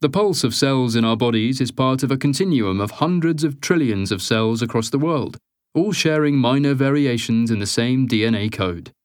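Expressive male audiobook narrator, dry sound. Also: medium pitch, normal speed, no echo. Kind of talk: scripted nonfiction narration.

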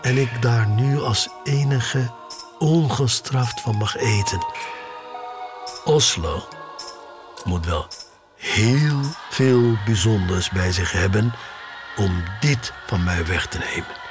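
An actor playing a sneaker-obsessed, wistful man of the future, telling his story in a deliberate, dramatic radio-drama monologue. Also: fairly low pitch, music in the background. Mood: brooding.

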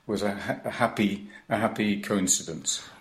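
A man in his sixties from Wales, a baritone singer, speaks as a guest on a radio show from his own home. His voice sits fairly low. He talks in a rambling, hesitant way, often breaking off and starting again. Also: steady tone, moderate pace, slight background noise. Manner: rambling, hesitant, self-interrupting. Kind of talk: radio interview conversation.

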